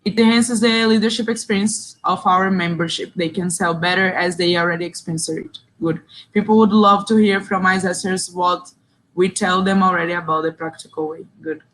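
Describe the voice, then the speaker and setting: A woman's voice: on the low side, fairly even intonation, fairly quick, fairly bright tone, rough recording. A young woman from Brazil with a Brazilian Portuguese accent, leading an online training session in English, reading chat answers aloud and praising them briefly. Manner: reads chat replies aloud, short praising interjections. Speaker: session facilitator. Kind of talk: online workshop facilitation.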